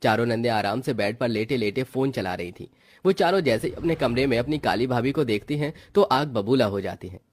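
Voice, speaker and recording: A man serves as narrator of a cartoon story. His voice has an average male pitch. The delivery is scripted storytelling, moving the tale along between character lines. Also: lively intonation, a little fast.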